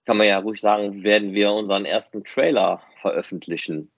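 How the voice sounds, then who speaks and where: A man's voice: fairly low pitch, fairly even intonation, brisk, no room sound. A man talks casually as a podcast host. He explains things at length in a chatty way. The sound is very dry and a little dull. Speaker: podcast host and fan audio drama director.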